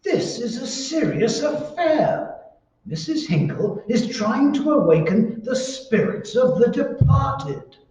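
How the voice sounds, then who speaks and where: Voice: high-pitched, big pitch swings, takes it slowly, a little mellow, exaggerated voice. A performer playing a flustered, officious, henpecked husband, formal and pleading, in old-time radio comedy style. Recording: noisy echoey room, distant mic, dull sound.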